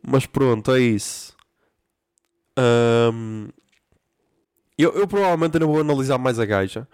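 A man's voice: medium pitch, a little mellow, clear, resonant tone, no echo, clean studio sound. Slangy young man with a European Portuguese accent. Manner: profane, ranting, slangy. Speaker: podcast host. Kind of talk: casual solo podcast chat.

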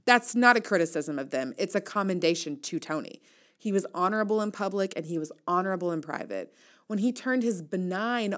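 A woman in her thirties reading a first-person essay aloud as host, candid and reflective, with wry asides. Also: lowish voice. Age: 30s. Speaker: newsletter writer and host reading an audio essay.

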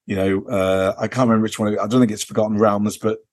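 A man's voice: lowish voice, level tone, quick pace, a little mellow. A man chatting casually as a podcast co-host, hesitant and rambling as he recounts things, leaning on filler phrases. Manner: hesitant, rambling delivery full of "you know" and "kind of". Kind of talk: casual off-the-record chat, recounting a convention visit.

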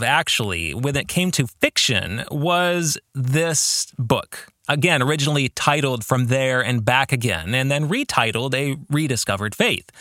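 A man hosting a solo podcast as an author, talking reflectively and personally, with emphatic phrasing and pauses mid-sentence. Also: medium pitch, sharp tone, dry recording, studio quality. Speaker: podcast host and fiction author.

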